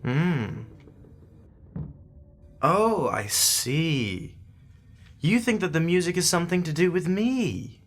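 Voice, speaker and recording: An actor playing a brusque, secretive agent in an audio drama, speaking in a clipped, formal, scornful manner. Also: male voice, on the high side, very animated, slow, long pauses, full character voice. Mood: curt, impatient. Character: brusque, secretive male agent, impatient and dismissive.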